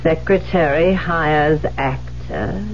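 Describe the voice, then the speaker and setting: An actress in a 1970s radio drama playing a cold, unhinged woman writer who killed her husband, speaking deliberately and dramatically. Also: deep voice. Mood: cold, controlled. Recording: dull, noisy 1970s radio recording with hum.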